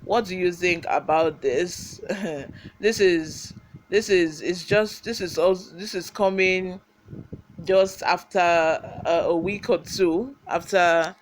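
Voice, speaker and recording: A man with a Nigerian accent presents the news for an online channel. His voice sits a little high for a man. He moves between scripted reporting and informal commentary. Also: slow, slight background noise.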